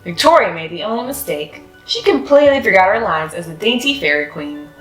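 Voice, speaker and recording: A woman's voice: mid-range voice, big pitch swings, brisk. A woman reads a children's story aloud in scripted storybook narration. Her voice sits in a roomy, echoing space, with faint music behind it. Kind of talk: scripted picture-book narration.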